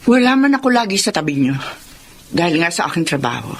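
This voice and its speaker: A woman's voice: lowish voice, sing-song, quick pace, slight background noise. A woman playing a self-sacrificing middle-aged career mother in a Filipino radio drama. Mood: earnest, pleading. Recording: music bed behind the voice.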